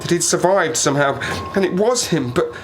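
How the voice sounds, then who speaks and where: An actor playing a stranded Arctic survivor in an audio play, a sardonic, haunted man who talks haltingly and rambles in agitation. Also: on the high side, animated, close to an everyday voice. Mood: uneasy, haunted. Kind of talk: dramatic audio play monologue. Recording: noisy ambience behind the voice.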